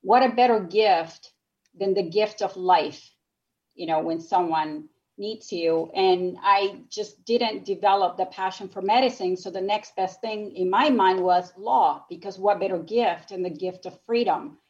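A woman's voice: mid-range voice, a little slow, dull audio. A woman from Nicaragua, speaking English as a second language, telling her story as a guest on a radio talk show in an earnest, reflective way. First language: Spanish. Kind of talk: radio interview.

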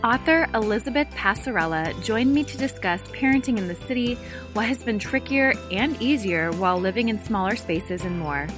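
A woman hosting a parenting podcast, reading her intro, then interviewing a guest over a video call. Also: medium pitch. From Ohio, USA. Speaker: podcast host.

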